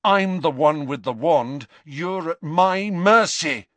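A man voicing a frightened teenage boy wizard in an audiobook, hesitant and breathy yet defiant. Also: fairly high pitch, takes it slowly, a bit bright, no room sound, pitched above the performer's own voice. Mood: shaky defiance.

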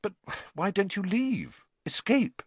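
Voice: mid-range voice, animated, takes it slowly, slightly rough, no room sound, voice pushed up, full character voice. A reader voicing a polite, nervous young boy in a storybook, hesitant and apologetic. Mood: curious, concerned.

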